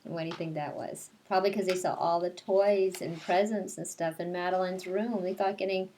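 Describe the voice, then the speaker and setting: A woman's voice: fairly low pitch, steady tone, a little fast, mellow, slight background noise. A woman teacher doing story time for kindergarteners, reading aloud and explaining things simply to young children. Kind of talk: picture-book read-aloud with casual asides to children.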